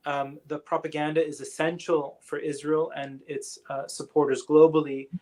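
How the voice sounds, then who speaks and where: A man with a fairly high voice takes audience questions after a lecture. He talks slowly and with care, stopping often on fillers and starting his sentences over. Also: level tone, a little mellow. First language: English. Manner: thoughtful, hesitant, many fillers and restarts. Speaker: guest lecturer. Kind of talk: online lecture Q&A answer.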